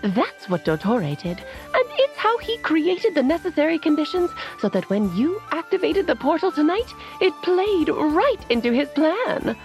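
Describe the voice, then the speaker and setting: An actress voicing a knowing, mysterious woman who explains things in a measured, lightly amused way. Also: sits high, sing-song, a little mellow, soft background music, exaggerated voice. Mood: explaining. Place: studio.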